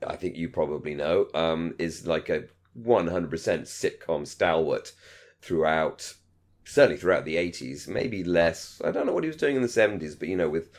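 A man's voice: low-pitched, animated, moderate pace. A middle-aged man co-hosting a casual discussion podcast, thinking aloud in a rambling, hesitant way with many false starts. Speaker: podcast co-host. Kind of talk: casual discussion of a radio drama.

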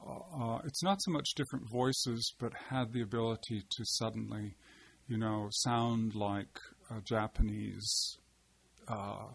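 A man, a poet, answering interview questions in a halting, reflective way, often pausing with fillers and restarting his sentences as he searches for words. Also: lowish voice, steady tone, vocal fry, slight background noise. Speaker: poet and translator, interview guest. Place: seated at a table.

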